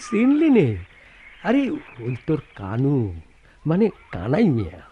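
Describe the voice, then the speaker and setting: Casting: knowing, teasing villager, rural Bangladeshi accent, highish swooping voice, radio play with faint noise. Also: male voice, huge pitch swings, a little slow, dark tone, dull audio. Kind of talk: radio drama acting.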